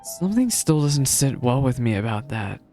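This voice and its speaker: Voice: medium pitch, big pitch swings, normal speed, dark tone. A game streamer giving casual live commentary, musing aloud in a doubtful way. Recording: game music behind voice.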